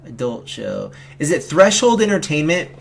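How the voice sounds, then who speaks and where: A man hosting a casual film commentary stream, talking in a halting, rambling, slangy way. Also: fairly high pitch, sing-song, vocal fry, slight background noise. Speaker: host of a film commentary stream, amateur horror filmmaker.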